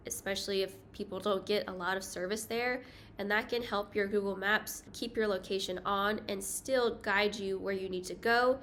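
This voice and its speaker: A young woman with a higher-pitched voice gives safety tips in a casual vlog, chatty and self-deprecating, with light laughs. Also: fairly bright tone, a little hiss or hum. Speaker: travel vlogger. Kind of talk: casual talking-head vlog.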